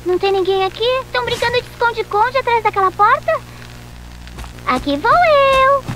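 A woman with a Brazilian accent voicing an excitable, curious young female unicorn in a cartoon dub, easily frightened. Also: very high-pitched, moderate pace, exaggerated voice, poor audio. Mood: suspicious. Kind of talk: animated cartoon dubbing. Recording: loud music under the voice.

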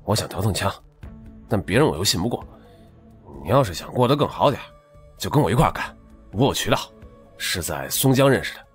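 A man's voice: lowish voice, expressive, fairly quick, pauses often, faint music behind, natural voice. A man playing a tipsy, persuasive street hustler in an audiobook drama, earnest, coaxing and colloquial.

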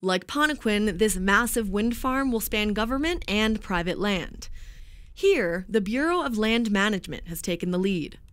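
A woman reporter giving a scripted narration for a news feature in a measured, even way. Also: medium pitch, clean tone.

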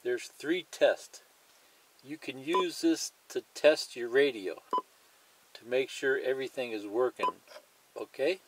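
A man's voice: mid-range voice, takes it slowly, slight background noise, low-quality recording. A man presenting a hands-on tutorial in a plain, methodical way, explaining each step and repeating it.

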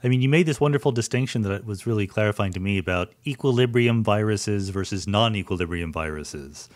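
A man's voice: on the low side, fairly quick. A man hosting a podcast interview, asking curious, engaged follow-up questions in a conversational way. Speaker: podcast host.

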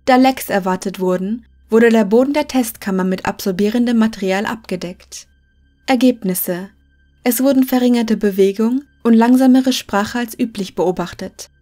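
A woman's voice: fairly high pitch, dark tone. A woman reading scripted clinical logs as a narrator, formal and matter-of-fact.

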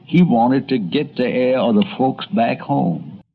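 Guy narrating a radio documentary, really lively delivery, bit lo-fi. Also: mid-range voice, measured pace, vocal fry, husky. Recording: dull lo-fi radio documentary audio.

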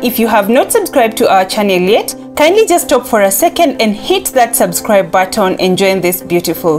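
A woman with a Kenyan accent presenting entertainment news as a scripted voice-over, upbeat, reading posts aloud. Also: a little high. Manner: upbeat, reads quotes aloud. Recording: voice-over with loud background music.

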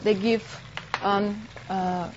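A middle-aged woman chef, demonstrating a recipe on a TV cooking segment and pausing to search for words. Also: mid-range voice, flat delivery. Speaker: restaurant chef. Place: TV studio kitchen set. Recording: muffled TV broadcast audio with background hiss.

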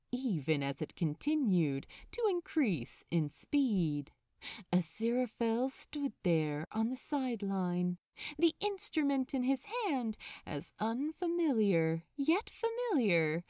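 Expressive, slow-paced woman narrating a story. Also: fairly high pitch, no echo, studio quality. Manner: dramatic, measured. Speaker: audiobook narrator.

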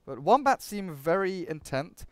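A man's voice: sits high, sing-song, measured pace, no echo, clean studio sound. A young man from England commentating a game draft in a chatty way, often repeating words. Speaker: esports commentator.

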